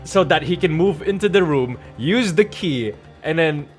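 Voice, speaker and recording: Excitable man streaming a game over music. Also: a little high, unhurried. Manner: excitable, slangy. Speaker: streamer playing a visual novel. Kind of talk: casual gaming commentary.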